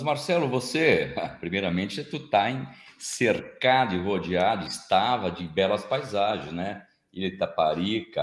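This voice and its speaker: Um homem na casa dos cinquenta, apresentador de podcast, fazendo perguntas informais numa chamada de vídeo. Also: male voice, low voice. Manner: informal, tags questions with "né" and "hein". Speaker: podcast host. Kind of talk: interview questions. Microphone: video call. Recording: echoey room on a video call.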